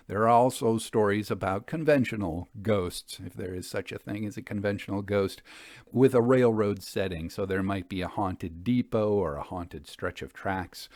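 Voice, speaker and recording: A man hosting and narrating a literary story podcast, with measured, deliberate phrasing. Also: on the low side, darkish tone, no echo, clean studio sound. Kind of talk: literary storytelling and scripted commentary.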